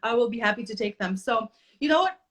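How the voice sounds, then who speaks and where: A woman's voice: on the high side, animated, quick pace, fairly bright tone. A woman from Poland who grew up in the US, hosting a podcast interview in an informal, enthusiastic, conversational way. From born in Poland, grew up in the US. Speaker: podcast host.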